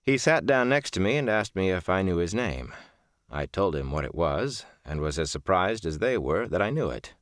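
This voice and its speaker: A man reads a first-person literary audiobook in measured, deliberate phrases. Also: deep voice, big pitch swings, no room sound, clean studio sound. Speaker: audiobook narrator.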